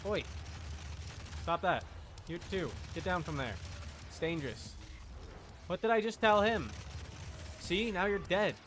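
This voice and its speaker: A man's voice: sits high, measured pace, long pauses, fairly bright tone, slight background noise. A man giving casual gaming livestream commentary in short, playful asides, as if talking to the game and chat. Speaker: livestream host.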